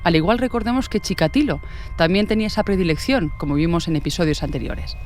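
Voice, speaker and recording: A woman's voice: lowish voice, fast, darkish tone, soft background music. A woman from hosting a podcast, reading scripted true-crime narration. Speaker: podcast host and author.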